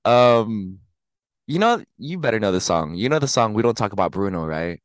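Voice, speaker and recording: A man in his late twenties hosting a podcast in casual chat, animated and giggly, his voice slightly raspy today. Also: lowish voice, normal speed, no echo, clean studio sound. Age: 20s. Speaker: podcast host.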